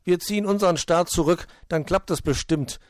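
A man's voice: mid-range voice, moderate pace, no room sound, studio quality, pitched above the performer's own voice, natural voice. A man voicing a timid, good-natured owl who frightens easily, in a children's story. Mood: desperate.

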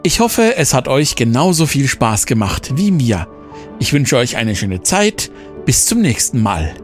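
Casting: man, presenter, mid-range voice, very animated intonation, faint music behind. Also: medium pitch, measured pace. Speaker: show presenter. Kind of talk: presenter's sign-off narration.

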